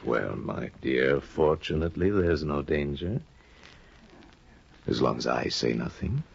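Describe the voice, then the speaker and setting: Smug, gravelly scheming judge. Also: male voice, a bass voice, animated, a little slow, exaggerated voice. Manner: smooth, insinuating, smug. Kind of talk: 1950 radio drama acting. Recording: dull lo-fi 1950 radio broadcast.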